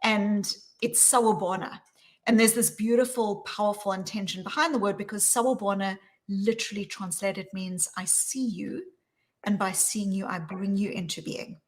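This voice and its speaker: A woman with a South African accent, answering interview questions in an earnest, measured way. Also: medium pitch, steady tone. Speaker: guest, psychologist.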